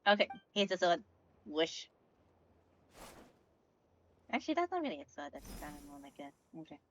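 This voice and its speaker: A woman streaming a game, reacting casually out loud to the story. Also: low voice, big pitch swings, normal speed, slight background noise. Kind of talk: casual live reaction commentary. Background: game music and dialogue.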